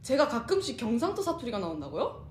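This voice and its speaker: A woman's voice: fairly high pitch, huge pitch swings, quick pace, roomy sound. A young woman from South Chungcheong with a light Chungcheong Korean accent, co-hosting a casual radio chat in a playful, giggly way. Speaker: co-host.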